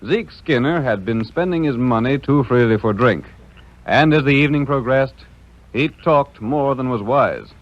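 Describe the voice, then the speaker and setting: A man's voice: mid-range voice, lively intonation, normal speed, close to an everyday voice. A man acting as the grand, dramatic narrator of a Western adventure serial in 1930s announcer style. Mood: storytelling. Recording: dull old radio, music behind.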